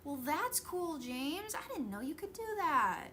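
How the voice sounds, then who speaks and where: A woman hosting a casual craft stream, her voice sitting high and swinging widely between notes. She greets viewers in a bubbly way. The recording carries a clear hiss of room noise. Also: very animated, a little slow. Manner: bubbly, greets chat warmly.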